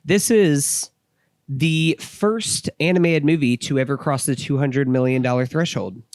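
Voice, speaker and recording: A man with a hoarse voice, co-hosting a casual movie review podcast and rambling animatedly. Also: medium pitch, measured pace, no room sound. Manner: rambling, animated, laughs often. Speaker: podcast co-host.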